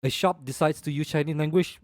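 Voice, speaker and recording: A young man with a Malaysian English accent co-hosting a casual podcast, talking through his opinions in a relaxed way and ending phrases with "lah". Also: fairly high pitch, brisk, no echo, clean studio sound. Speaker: podcast co-host.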